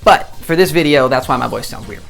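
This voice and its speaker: A young man in his twenties with a hoarse voice after a day of shouting explains technique to camera in a chatty, self-deprecating way. He often stumbles and restarts, his pitch sitting mid-range, and faint music plays behind him. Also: fairly quick. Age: 20s. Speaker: disc golf instructor. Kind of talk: casual tutorial to camera.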